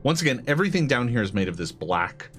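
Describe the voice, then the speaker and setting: A man acting as game master, improvising narration for a tabletop game in a halting, think-aloud way. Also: medium pitch, sing-song, a bit bright.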